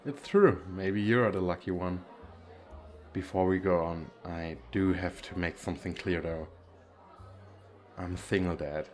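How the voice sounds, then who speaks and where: A man playing a gentle, awkward single dad who flirts shyly and hesitantly in an ASMR roleplay. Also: low voice. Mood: polite, hesitant. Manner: hesitant, self-deprecating, soft chuckles.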